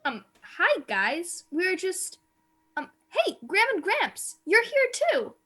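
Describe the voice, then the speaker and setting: A woman's voice: extremely high pitch, wildly swooping pitch, slow, bright, forceful tone, exaggerated voice. A woman playing a nervous, chatty teenage girl who hesitates in an amateur radio play.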